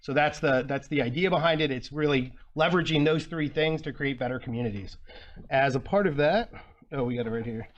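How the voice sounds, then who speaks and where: A man's voice: mid-range voice, brisk, lo-fi sound. A man giving a presentation at a conference, earnest and often restarting phrases with fillers. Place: conference room.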